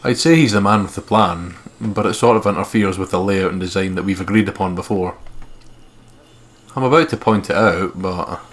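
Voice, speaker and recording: A man's voice: low-pitched, normal speed, a little hiss or hum. A man co-hosting a let's play, mixing casual, sweary, joking banter with reading the game's text aloud.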